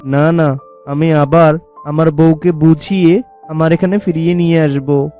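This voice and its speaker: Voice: mid-range voice, fairly even intonation, measured pace, warm tone, natural voice. A performer voicing a devoted tiger husband in a cartoon folk tale, with a plaintive manner. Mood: anxious resolve. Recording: music under the voice.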